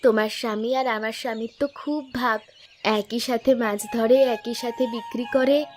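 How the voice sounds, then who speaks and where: A woman's voice: fairly high pitch, fairly even intonation, normal speed, lightly acted. A woman voicing a friendly village wife and neighbour in a cartoon folk tale. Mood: friendly, teasing. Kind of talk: animated folk-tale dialogue.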